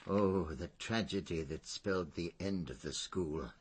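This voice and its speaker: An actor playing a fussy, dignified older former schoolmaster in a radio drama, an English man speaking in a hesitant, precise, formal way with a husky voice. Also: deep voice, unhurried. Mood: grave, sorrowful.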